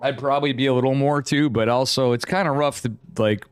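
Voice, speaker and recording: A man's voice: medium pitch, steady tone, brisk, smooth, no echo. A man with an American accent from upstate New York, chatting casually and rambling with viewers as he hosts and commentates a game livestream. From upstate New York, United States. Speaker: esports caster and stream host.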